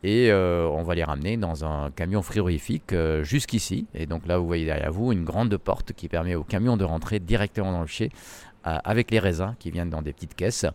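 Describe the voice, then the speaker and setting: Deep low-voiced guy from lebanon, middle-aged, lots of ums showing folks round his winery. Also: fairly quick, a little mellow. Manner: hesitant, many fillers. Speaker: winemaker. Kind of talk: guided tour interview.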